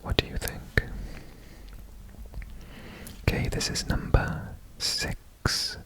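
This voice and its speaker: A man from Wales, whispering a quiz about himself for an ASMR video, repeating single words softly over and over. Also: drawn-out words, low-quality recording. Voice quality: whispered throughout. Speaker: ASMR creator.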